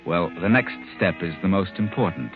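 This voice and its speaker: An actor playing a knowing, ominous narrator of a 1940s radio mystery. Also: male voice, deep voice, normal speed, close to an everyday voice. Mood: knowing, sly. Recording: tinny old radio recording. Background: music.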